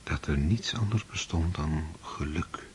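A man narrating a radio play in a steady, measured storytelling manner. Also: extremely low pitch, slight background noise. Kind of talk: scripted narration.

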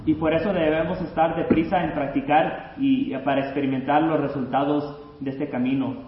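A man's voice: fairly high pitch, fairly even intonation, quick pace. A man interpreting a sermon live, hesitant and full of fillers and restarts. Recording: roomy lo-fi recording with faint noise.

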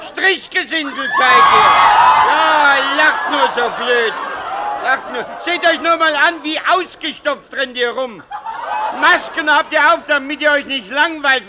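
An actor playing a coarse, defiant underdog ranting bluntly and loudly in a 1950s radio play. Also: male voice, very high voice. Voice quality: loud, shouting. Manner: coarse, blunt, colloquial, insistent and repetitive. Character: rough, defiant, ranting male underdog at a society costume party. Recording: noisy 1950s radio recording, loud crowd. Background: loud crowd of party guests.

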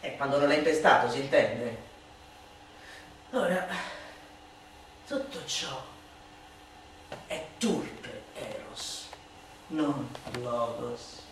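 A woman's voice: extremely low pitch, animated, very slow, pauses often, exaggerated voice. A woman performing a theatrical satirical monologue as a scornful, vehement orator, declaiming in the manner of a harangue. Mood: mocking, sardonic. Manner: declamatory, swinging from hushed sneering to shouted outbursts. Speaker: stage and radio actor. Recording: echoey hall, distant mic, faint noise.

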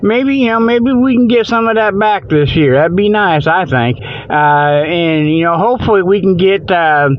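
Male radio host, high voice, folksy and rambling comic delivery, dry but dull lo-fi recording. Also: moderate pace, dry recording. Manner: folksy colloquial grammar; repeats "okay" and "you know" as tags. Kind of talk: rambling comedic radio monologue.

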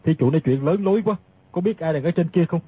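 Learned, troubled martial-world physician for radio drama; formal, brisk, raspy, slightly dull sound. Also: male voice, fairly high pitch, no echo. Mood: scornful. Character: learned physician, proud man humbled and troubled. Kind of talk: dramatised audiobook reading.

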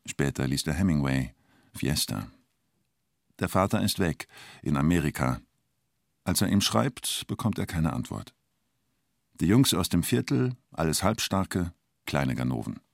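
An actor as the narrator of a radio play about a robber, speaking in terse, deliberate, clipped phrases. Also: male voice, very deep voice, very animated, gravelly, no echo, clean studio sound, exaggerated voice. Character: male narrator telling a bank robber's story tersely. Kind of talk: radio play narration, short present-tense sentences.

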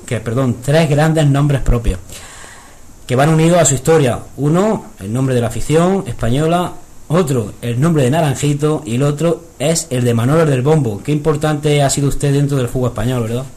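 A man's voice: medium pitch, normal speed, dark tone. A man hosting a radio interview, asking questions formally and politely. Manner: formal, uses usted. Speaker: radio host.

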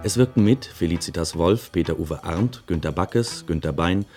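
Low male announcer reading credits formally, bit of guitar music behind. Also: normal speed, a little mellow. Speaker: radio announcer.

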